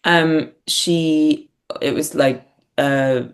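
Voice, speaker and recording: A woman's voice: low-pitched, steady tone, drawn-out words. Reflective woman, casual interview talk. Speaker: podcast guest, writer and performer.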